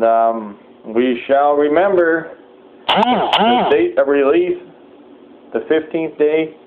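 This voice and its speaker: A man preaching a sermon-like address in a solemn, exhorting manner, with halting hesitations as he goes. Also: mid-range voice. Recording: thin phone-like sound, clear background noise.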